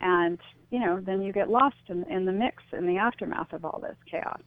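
A woman's voice: mid-range voice, moderate pace, no room sound. Earnest woman on a phone-like line. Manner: earnest, explanatory, often saying "you know". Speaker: interview guest. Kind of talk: radio interview, reflective explanation. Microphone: phone or call line into a radio show. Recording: thin phone-like line, dry.

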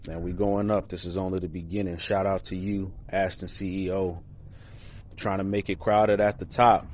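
A man's voice: low voice, level tone, moderate pace, a little mellow. A man giving a casual, slangy customer testimonial in an amateur recording. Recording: clearly noisy amateur clip with ambience.

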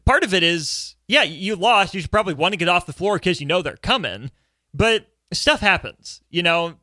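A man's voice: high-pitched, lively intonation, moderate pace, sharp tone, no echo. A man with a polished radio voice hosting a conversational sports talk show.

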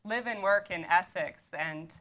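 A woman in her thirties from Canada with a Canadian accent, an author speaking at a panel in a measured, explanatory way with occasional restarts. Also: on the low side, fairly bright tone, a little hiss or hum, low-quality recording. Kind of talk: lecture-style talk.

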